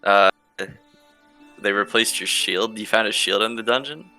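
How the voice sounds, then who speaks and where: A man's voice: fairly low pitch, moderate pace, sharp tone, low-quality recording. A man chatting casually as he plays a tabletop roleplaying game. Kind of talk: casual chat. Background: music.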